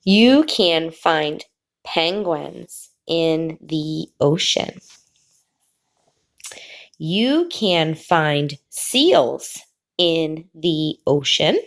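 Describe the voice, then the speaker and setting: A woman teacher reading a picture book aloud to children, pausing before key words and explaining the pictures. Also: sits low, animated. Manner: slow, drawn-out reading with sing-song pauses before the last word.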